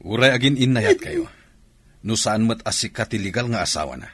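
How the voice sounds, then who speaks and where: A man playing a gruff, stern military official in a Filipino radio comedy drama, husky and with a Filipino accent. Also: medium pitch, wildly swooping pitch, a little fast, fairly bright tone, full character voice. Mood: stern, dismissive.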